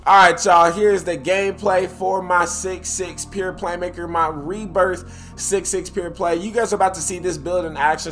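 A man's voice: a little high, a bit bright. A young man with a stuffed-up nose giving hyped, slangy commentary over his basketball video game footage. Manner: hyped, slangy, talks straight to viewers. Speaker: gaming YouTuber. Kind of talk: gameplay commentary.

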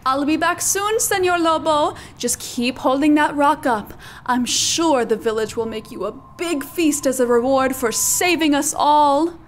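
A woman's voice: high voice, a little slow, hardly any pauses, a little hiss or hum. A woman voicing a clever female sheep who feigns meek helplessness in a children's puppet show, pleading and melodramatic. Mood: coaxing.

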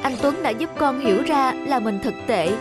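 A woman voicing a tender, downcast young woman in a cartoon, earnest and soft-hearted. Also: high voice, expressive, moderate pace, loud music behind the voice. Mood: apologetic. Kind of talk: animated cartoon dubbing.

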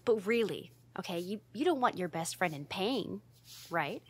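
A woman's voice: mid-range voice, animated, moderate pace, husky. A woman playing a flustered, easily embarrassed tsundere roommate in an ASMR roleplay, stammering and defensive but secretly fond. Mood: flustered, demanding. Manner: stammering, defensive, huffy, hesitant.

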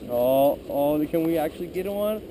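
A man fishing at a creek outdoors, chatting in an excitable, squeamish way, recorded on an action camera. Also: on the high side, background noise. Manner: excitable, squeamish, quick to laugh. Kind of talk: casual chat while fishing.

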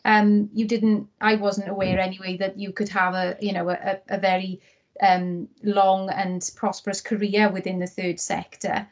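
A woman's voice: mid-range voice, level tone, normal speed. A Welsh woman introducing herself as a panel guest on a video call, speaking sincerely with many fillers. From Wales. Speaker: charity marketing head, panel guest. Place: indoor room.

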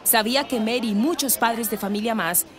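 A woman's voice: fairly high pitch, fairly quick. A woman with a Colombian accent reading scripted narration for a radio drama.

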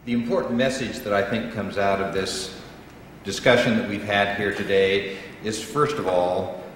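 Deep-voiced man chairing a hearing. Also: steady tone, a bit bright. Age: middle-aged. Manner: measured, repetitive, halting. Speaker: congressional staff chairing the briefing. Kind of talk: formal closing remarks. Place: large hearing room. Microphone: desk microphone. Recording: echoey hall, faint room noise.

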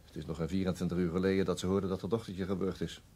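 A sober, concerned detective in a radio play. He speaks quickly in a low, rough-edged voice. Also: little pitch movement, dark tone. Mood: sombre. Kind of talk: radio drama acting.

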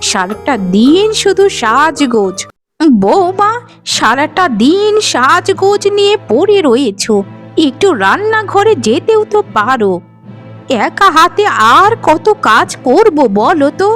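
A woman's voice: very high-pitched, big pitch swings, a little slow, fairly bright tone, full character voice. A woman voicing an older village mother-in-law in a cartoon, scheming and complaining, with music behind her. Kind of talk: animated cartoon dialogue.

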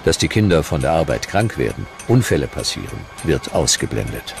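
A man narrating a scripted documentary with dramatic, deliberate storytelling. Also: extremely low pitch, sing-song, vocal fry, faint music behind.

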